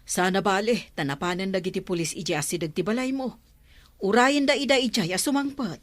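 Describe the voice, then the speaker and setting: An actress playing a stern, protective middle-aged aunt in a radio drama, speaking with authority and an Ilocano accent. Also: female voice, mid-range voice, fairly quick, no echo, natural voice. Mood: advising. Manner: firm, authoritative.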